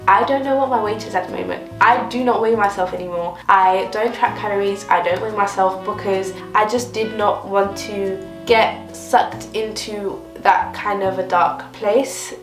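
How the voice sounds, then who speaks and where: A woman's voice: medium pitch, roomy sound. A woman in her twenties chatting casually and upbeat while answering viewer questions in her kitchen. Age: 20s. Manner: chatty and upbeat, with light laughs. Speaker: vlogger. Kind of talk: casual Q&A chat.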